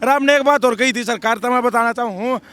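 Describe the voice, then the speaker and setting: A young man speaks with a Haryanvi accent, his very high voice strained and hoarse. He talks bluntly and defiantly, rambling from point to point like a farmer answering a reporter in the street. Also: fairly quick, fairly bright tone, dry recording. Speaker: protesting farmer. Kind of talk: outdoor street interview.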